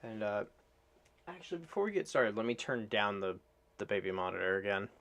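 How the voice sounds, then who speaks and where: A man's voice: on the low side, fairly quick, a bit bright, a little hiss or hum. A man chatting casually as a streamer reacting to videos. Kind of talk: casual livestream chat.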